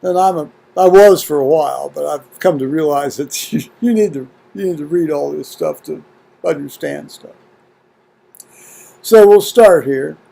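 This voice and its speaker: An American man video blogging at home in a halting, folksy, rambling way, muttering to himself and reading to viewers. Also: a little high, creaky, mellow. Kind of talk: rambling casual chat with scripture reading. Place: at home, indoors.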